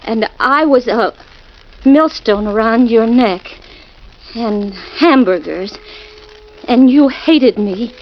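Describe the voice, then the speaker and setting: A high-voiced, fretful young wife. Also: female voice, slow pace, pauses often. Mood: anxious. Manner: breathless, fretful, pleading. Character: nervous young wife shaken by a nightmare. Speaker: radio drama actress. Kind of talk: 1940s radio acting. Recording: muffled old radio recording with hiss.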